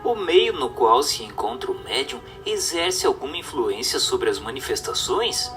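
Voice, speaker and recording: A man's voice: mid-range voice, very animated, normal speed, distant mic, over music. A man narrating a scripted radio drama adaptation of a book, reading in an emphatic, declamatory way.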